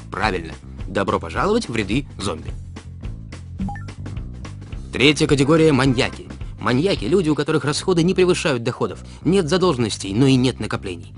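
Male narrator for an animated explainer, mid-pitched, animated intonation, didactic and deliberate, light music bed. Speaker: narrator of an educational cartoon about personal finance. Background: background music.

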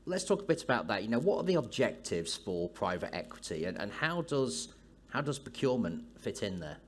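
A man's voice: on the low side, big pitch swings, a little fast, husky. A man hosting an on-stage conference interview, chatty and loose with his ums, through a headset mic. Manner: chatty, friendly banter with ums. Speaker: interviewer, host. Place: stage of a large conference hall.

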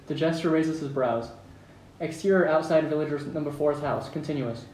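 A man narrates stage directions at a table read. His voice is a bit high. He sounds slightly distant in an echoey room with faint background noise. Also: moderate pace, darkish tone.

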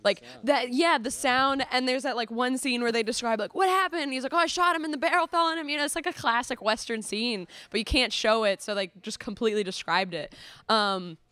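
A young woman giving casual, halting commentary peppered with "like". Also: fairly high pitch, sharp tone, no echo. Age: young adult. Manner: halting, frequent "like". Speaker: student reviewer. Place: classroom studio, indoors.

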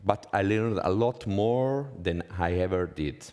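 A man with a low-pitched voice and an Italian accent delivers a prepared talk as a conference speaker. He speaks English as a second language in a measured way. The sound carries the long reverb of a large hall. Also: a little mellow, clear, resonant tone. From Italy. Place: conference hall stage, indoors.

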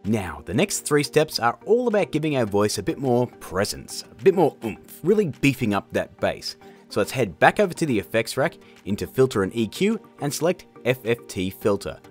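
Man, casual tutorial narration, expressive intonation, jokey, soft music behind. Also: mid-range voice, normal speed. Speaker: tutorial host.

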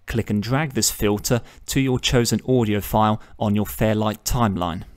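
A man with a fairly low voice walks through a software tutorial step by step. His delivery is measured and instructional, like a channel host explaining each click. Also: fairly even intonation. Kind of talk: step-by-step screen tutorial narration.

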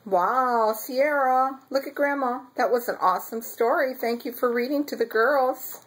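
A woman's voice: high-pitched, measured pace, rough recording. A grandmother talking casually to a small child and pets in simple, praising, coaxing phrases. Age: middle-aged or older. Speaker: grandmother filming her granddaughter. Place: bedroom at home, indoors. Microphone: handheld camera, speaker behind it. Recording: faint background noise, home video.

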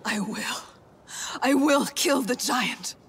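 A woman's voice: on the high side, slow, background noise. A woman playing a defiant, haunted young Celtic warrior in a video game, speaking with intense resolve. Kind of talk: dramatic video game acting.

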